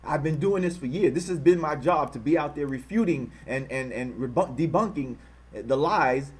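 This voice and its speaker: A man's voice: fairly high pitch, lively intonation, moderate pace, slightly rough. Fired-up American man ranting on a livestream. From United States. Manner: impassioned, repetitive rhetorical questions; greets and thanks live viewers in a softer tone. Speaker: online channel host. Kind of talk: live-streamed commentary.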